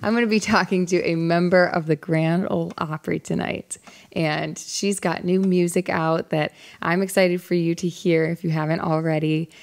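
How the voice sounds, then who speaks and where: A woman's voice: fairly low pitch, fairly even intonation, normal speed, creaky. A young woman in her twenties hosting a live-streamed music chat show, bubbly and upbeat, talking into a studio mic on a stand in a small rustic studio set. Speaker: host and singer.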